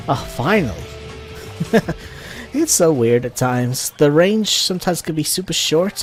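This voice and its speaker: A man hosts a casual let's play in a fairly high, very animated voice. He talks through the game as he plays, with music running underneath. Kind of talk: casual gameplay commentary.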